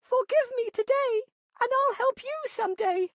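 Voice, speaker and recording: A man voicing a tiny, timid female mouse who begs tearfully in a very high squeak. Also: moderate pace. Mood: pleading. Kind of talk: storybook voice acting.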